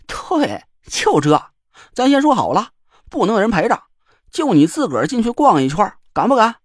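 A man's voice: sits high, big pitch swings, moderate pace, no echo, clean studio sound, voice pushed up. A man voicing a cocky, teasing middle-aged man with a bantering, mock-boastful drawl.

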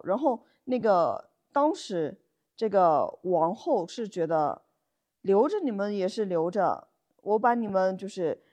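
A woman's voice: medium pitch, darkish tone. A woman co-hosting a podcast, telling stories casually with colloquial asides and rhetorical questions. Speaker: podcast co-host. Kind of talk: casual conversational storytelling.

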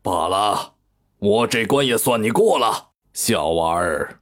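A man's voice: on the low side, expressive, background noise. A man playing a hearty, domineering lion demon king in a fantasy audio drama, boisterous and swaggering. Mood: grudging, lofty. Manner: boisterous, swaggering, drawn-out delivery. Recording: music behind.

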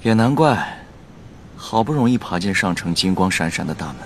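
A man acting in a radio drama as a composed, considerate young gentleman from the upper city, speaking in a measured, concerned way. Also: low voice, animated, a little hiss or hum. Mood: bitter. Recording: prominent music behind the voice.

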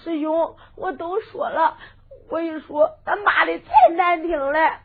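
Brief: very high-voiced, tearful boy apprentice, voiced by a woman, phone-like audio. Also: a little slow. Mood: tearful, pleading. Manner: sobbing, pleading delivery. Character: small young male apprentice, tearful and pleading. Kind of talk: folk storytelling performance. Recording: lo-fi phone-like sound.

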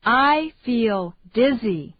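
A woman reading English phrases slowly and deliberately for learners to repeat. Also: on the high side, expressive, husky. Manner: slow, deliberate, carefully enunciated. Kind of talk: slow repeat-after-me drill. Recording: dry, tinny phone-like sound.

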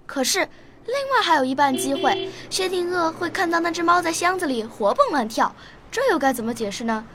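A woman's voice: very high voice, animated, brisk, background noise. An actress playing a young, curious, bookish schoolgirl in a Chinese radio drama. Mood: curious, earnest.

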